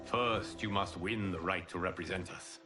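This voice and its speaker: Solemn lord and tournament master for a fighting game , male actor. Formal, measured, authoritative, fairly deep, music underneath. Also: fairly even intonation, natural voice. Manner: formal, measured, commanding speech. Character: solemn, noble lord mentor presiding over a martial tournament. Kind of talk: video game cutscene acting. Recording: loud cinematic music behind.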